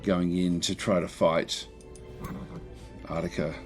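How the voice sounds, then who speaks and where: A man with a croaky voice casually talking through a strategy game, thinking aloud with many fillers and restarts. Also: low voice, little pitch movement, slow, music in the background. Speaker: gameplay commentator.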